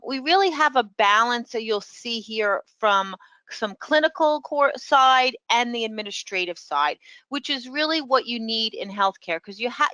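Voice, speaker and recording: Bright-voiced woman with a New York accent. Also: on the high side, no echo. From New York City. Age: middle-aged. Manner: explanatory, filler-heavy. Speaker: professor. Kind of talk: webinar presentation.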